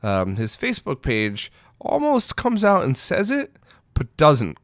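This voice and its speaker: A man's voice: on the high side, wildly swooping pitch, unhurried, a bit bright, no room sound, clean studio sound. A man hosting a news roundup in a casual, blunt, opinionated way, speaking informally straight to viewers. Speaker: host of a retro gaming news show.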